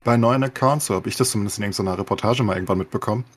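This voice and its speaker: A man's voice: on the low side, rapid-fire, a little hiss or hum. A man with a German accent chatting casually as a podcast co-host, informal and peppering his talk with English gamer slang. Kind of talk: casual gaming chat.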